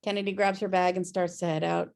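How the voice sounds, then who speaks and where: A woman's voice: fairly low pitch, little pitch movement, quick pace, gravelly, a little mellow. A woman reading scripted stage directions as narrator of a table read over a video call.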